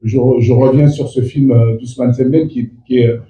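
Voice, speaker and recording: Dark-voiced man, halting, far in echoey room. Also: mid-range voice, steady tone. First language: Fula. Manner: halting, many restarts and fillers. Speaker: actor. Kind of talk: press interview.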